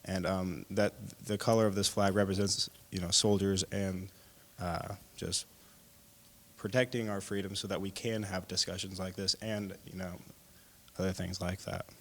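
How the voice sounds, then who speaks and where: A man's voice: low voice, little pitch movement, normal speed, in a large hall. A man sharing his thoughts in a group discussion, hesitant and full of fillers. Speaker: discussion participant.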